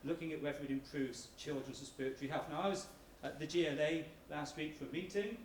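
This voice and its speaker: A man's voice: fairly high pitch, fairly even intonation, quick pace. An emphatic man presents research to a public meeting, persuasive in his delivery. He is picked up from a distance in an echoing room, with faint noise underneath. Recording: roomy hall, distant mic, background noise.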